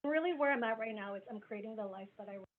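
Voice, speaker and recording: A woman's voice: on the high side, fast. Woman in her 40s, business coach on a zoom call, chatty and candid, tinny phone-ish line. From grew up in the US Midwest. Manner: candid, conversational storytelling. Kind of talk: webinar self-introduction. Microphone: video call.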